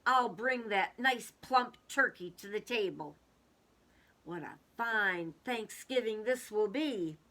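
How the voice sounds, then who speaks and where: A woman voicing a cheerful, hospitable storybook woman with deliberate, expressive delivery. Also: medium pitch, full character voice. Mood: warm, grateful. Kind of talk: storybook read-aloud.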